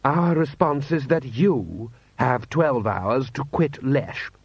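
A man's voice: mid-range voice, slow pace, creaky, breathy edge, no echo, pitched above the performer's own voice, full character voice. A man voicing a haughty, stiff military lord with pompous, condescending formal speech.

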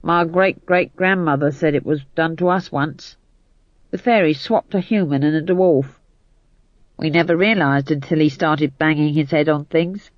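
A man's voice: fairly high pitch, moderate pace, no echo, close to an everyday voice. A man reading an audiobook, voicing a homely, folksy male dwarf. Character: gruff rustic male dwarf. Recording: dull band-limited audio.

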